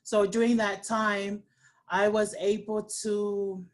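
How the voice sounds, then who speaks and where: A woman from Samoa, a guest on a webinar interview over a video call, talking earnestly with many pauses and restarts. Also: mid-range voice, flat delivery.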